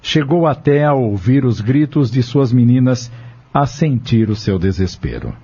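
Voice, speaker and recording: A man's voice: mid-range voice, big pitch swings, a little slow, warm tone, husky. A man narrating a dramatic audio novel from a script in a solemn, literary manner. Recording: dull lo-fi audio with music behind. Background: music.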